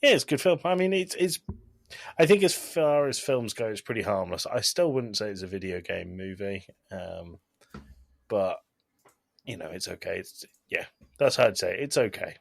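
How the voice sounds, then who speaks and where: Lowish voiced guy on a podcast, wry casual review chat, very dry recording. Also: moderate pace, vocal fry, no echo. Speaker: podcast co-host.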